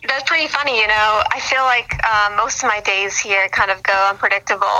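A woman's voice: mid-range voice, moderate pace, bright, forceful tone, slight background noise, low-quality recording. A young woman from Boston, chatting as an interview guest with many fillers and restarts. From Boston, USA. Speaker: sports blogger guest. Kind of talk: casual interview on a phone line.

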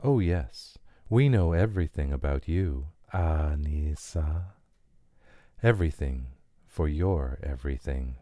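A man as a dark, low-voiced inhuman dealmaker. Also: animated, slow, no echo, clean studio sound, exaggerated voice. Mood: silky, grandiose. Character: inhuman company representative, gracious, menacing, predatory bargainer. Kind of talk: dramatic audio fiction reading.

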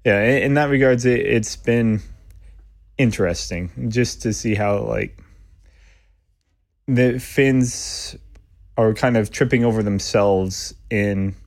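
A man speaking as a podcast guest in a relaxed, thoughtful interview conversation. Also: lowish voice, dry recording, studio quality. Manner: thoughtful, measured, occasional laughs.

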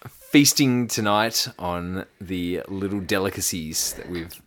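Male podcast co-host, Australian, fairly low voice, joking and loosely conversational. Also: slow pace, a bit bright. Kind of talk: casual banter.

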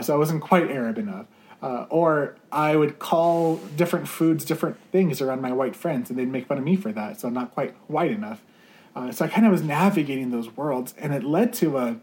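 A man's voice: mid-range voice, expressive, normal speed, darkish tone. Earnest man telling his story. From United States. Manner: earnest, searching, many fillers and restarts. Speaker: campus ministry leader. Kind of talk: reflective interview answers.

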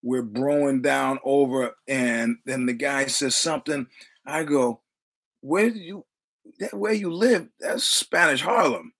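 A man's voice: mid-range voice, slow, a bit bright, slightly rough. A man in his sixties from New York City with a New York accent, telling rambling, profane anecdotes with quoted dialogue as a guest in casual conversation. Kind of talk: casual storytelling.

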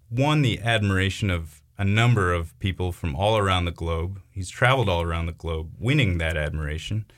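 A man hosting a community radio interview, asking questions in a friendly, steady way. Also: low voice. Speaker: radio host.

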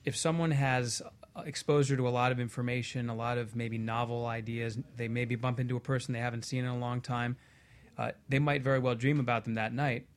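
A man speaking as a guest expert in a panel discussion, giving measured explanations with careful, halting phrasing. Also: mid-range voice, fairly even intonation.

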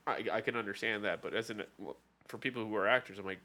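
A man's voice: fairly low pitch, fairly even intonation, quick pace, sharp tone. A man co-hosting a casual chat show, musing aloud with wry asides.

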